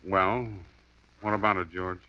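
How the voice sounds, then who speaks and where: A man's voice: sits low, fairly even intonation, a little slow, smooth. An actor playing a wisecracking, breezy male private detective in a 1950s radio drama. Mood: firm. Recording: dull lo-fi 1950s radio broadcast.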